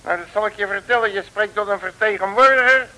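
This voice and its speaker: Brash, sharp-voiced comic detective. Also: male voice, high-pitched, quick pace, rough recording. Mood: boastful. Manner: blustering, colloquial, theatrical. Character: brash, cheeky male detective, a comic braggart. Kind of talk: 1960s radio comedy serial acting. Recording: hissy old radio recording.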